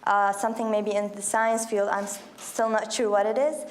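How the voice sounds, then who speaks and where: A woman in her early twenties from Iraq, speaking as a panelist with many pauses, ums and restarts. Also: medium pitch, level tone, a little hiss or hum. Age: 20s. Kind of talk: panel discussion.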